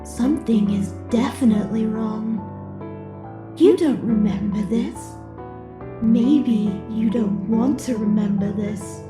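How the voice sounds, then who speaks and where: Female actor as eerie inner voice of dread, unhurried, cryptic, taunting, echo, clear music behind, comic dub. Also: a little high. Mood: ominous, taunting. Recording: echoing voice over music.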